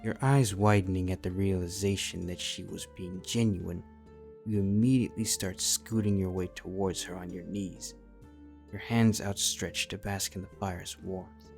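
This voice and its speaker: Male narrator, low register, scripted fan fiction storytelling addressed to "you", light music bed. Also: fairly even intonation, normal speed, creaky, a little mellow.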